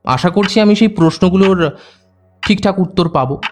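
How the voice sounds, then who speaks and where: Man acting a high-voiced, probing detective. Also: natural voice. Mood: probing, polite. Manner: measured, polite, insistent. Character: composed, probing male private detective questioning suspects. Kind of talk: audio drama acting. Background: background music.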